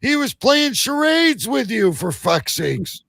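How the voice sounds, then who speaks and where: A man's voice: extremely high pitch, sing-song, slow pace, gravelly, a bit bright. A man hosting a live comedy show, bantering in a teasing, foul-mouthed way.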